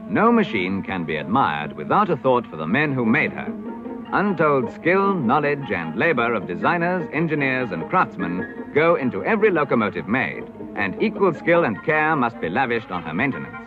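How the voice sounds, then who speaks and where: A British man delivers scripted 1950s educational film narration. His delivery is formal and declamatory, with lively rises and falls at a measured pace. The sound is a muffled vintage film track, with music clearly playing underneath. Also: mid-range voice. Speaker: documentary narrator. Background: clear background music.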